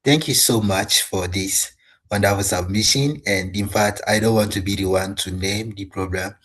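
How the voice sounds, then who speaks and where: A man's voice: lowish voice, normal speed, fairly bright tone, husky. A man hosting a panel discussion, courteously thanking speakers and viewers. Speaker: host. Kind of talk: moderating a panel.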